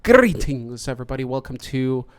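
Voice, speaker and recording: A man's voice: medium pitch, sing-song, normal speed. Chatty man hosting a livestream. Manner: chatty, upbeat, talks through setup. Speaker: podcast host. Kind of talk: casual livestream chat.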